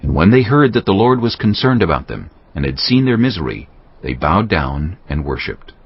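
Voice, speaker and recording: A man's voice: low voice, big pitch swings, moderate pace, husky. A man giving scripted scripture narration as the narrator of a dramatized audio production. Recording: muffled, lo-fi.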